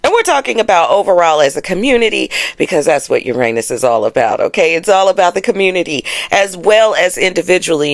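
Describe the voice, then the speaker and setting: A woman's voice: lowish voice, vocal fry, dry recording. A woman hosting an astrology show, chatting casually to her audience in a sassy, playful, slangy way. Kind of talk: casual chatty explainer to camera.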